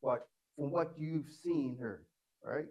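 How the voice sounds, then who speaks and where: A Caribbean man hosting an interview in a relaxed chatty way, rambling through his questions. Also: mid-range voice, slow. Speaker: interview host. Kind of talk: casual interview chat.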